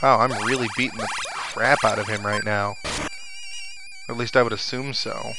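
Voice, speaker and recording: A man's voice: on the low side, steady tone, moderate pace. A man giving casual let's play commentary, thinking aloud to his viewers as he plays. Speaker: gaming video host. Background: video game music and sound effects.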